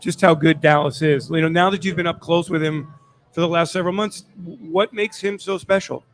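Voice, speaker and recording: Higher-voiced man, sports interviewer. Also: fairly even intonation, brisk. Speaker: sports show panelist interviewing a player.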